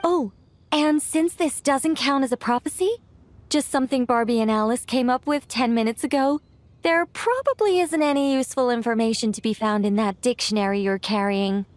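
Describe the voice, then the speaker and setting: A woman voicing a composed, whimsical witch mage in a video game, chatty and genteel with a gently teasing manner. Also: on the high side, moderate pace, close to an everyday voice. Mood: explanatory, airy. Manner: chatty, playful, genteel storyteller. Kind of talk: animated game voice acting. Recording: music playing behind the voice.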